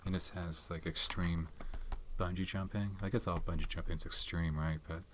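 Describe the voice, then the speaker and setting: A man speaking softly in an ASMR video, chatting casually and rambling with many fillers and restarts. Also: low voice, fairly even intonation, moderate pace, slight background noise. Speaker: ASMR channel host reviewing his film collection. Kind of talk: soft-spoken ASMR chat.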